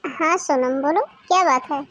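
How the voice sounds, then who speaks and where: A woman voicing a warm, affectionate middle-aged mother-in-law with a coaxing, motherly manner. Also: high-pitched, moderate pace, no room sound, muffled recording. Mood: indulgent.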